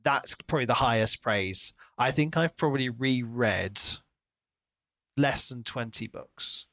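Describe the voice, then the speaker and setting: A man's voice: medium pitch, measured pace, fairly bright tone, no room sound. A man co-hosting a podcast, chatting casually about books and slipping into imagined quotes as he talks. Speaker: podcast co-host. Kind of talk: casual book discussion.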